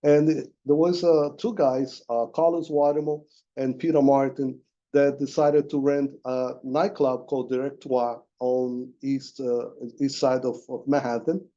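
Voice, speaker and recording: Male panelist in his 60s, very dark mellow tone, muffled lo-fi audio. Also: medium pitch, level tone, unhurried. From Brazil. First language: Portuguese. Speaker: panelist, veteran DJ. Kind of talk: storytelling at a panel.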